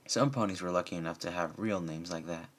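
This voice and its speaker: Man, lowish voice, amateur story narration, slightly halting reading. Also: a bit bright. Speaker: reader of fan fiction.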